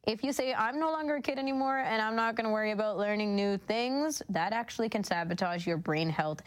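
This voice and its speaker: A woman's voice: mid-range voice, a little fast. A woman co-hosting a radio show, explaining things in a casual, conversational way. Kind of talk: casual explainer of a lifestyle article.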